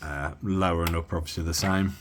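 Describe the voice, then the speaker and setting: A man from the Midlands demoing a radio at his bench in a casual, halting way with lots of fillers. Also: sits low, steady tone, heavy background noise. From Midlands of England. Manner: halting, many fillers and restarts. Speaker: radio hobby vlogger. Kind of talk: casual hands-on demo.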